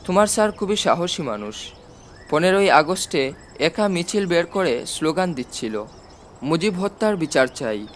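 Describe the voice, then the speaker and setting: Man playing army chief uncle, storybook, fatherly, confident, reflective, high male voice, slight background noise. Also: big pitch swings, normal speed. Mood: admiring. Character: army chief uncle, affectionate, confident, older than his listener. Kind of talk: storybook reading.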